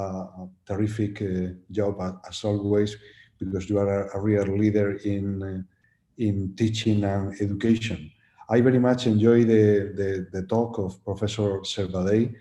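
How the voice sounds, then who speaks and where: A man speaks politely on a webinar, halting and full of fillers. His voice is low, warm and fairly monotone. The call audio sounds roomy and echoey. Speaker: webinar panelist. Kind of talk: formal remarks on a webinar. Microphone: video call.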